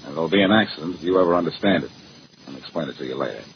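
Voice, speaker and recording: An actor playing a cocky, wisecracking amateur detective in 1940s radio style, glib, sarcastic and teasing. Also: male voice, extremely low pitch, very fast. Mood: sardonic, brisk. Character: cocky, wisecracking amateur detective man, needling a police inspector. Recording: muffled, hissy old-time radio recording.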